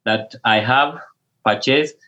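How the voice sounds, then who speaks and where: Slow-talking Kenyan pastor on a call. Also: male voice, mid-range voice, sharp tone, dull audio. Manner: slow, measured, with hesitations and restarts. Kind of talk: casual video call interview.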